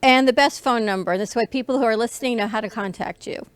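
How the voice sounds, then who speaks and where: A woman's voice: a little high, fast talker, clean tone, dry recording. A woman hosting a health talk show with an upbeat, polished presenter delivery. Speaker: show host.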